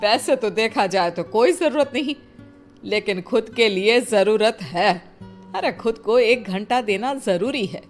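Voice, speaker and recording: A woman's voice: medium pitch, expressive, measured pace, faint music behind, lightly acted. A woman voicing a protective elderly mother-in-law in a cartoon story, motherly, insistent and admonishing. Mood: coaxing.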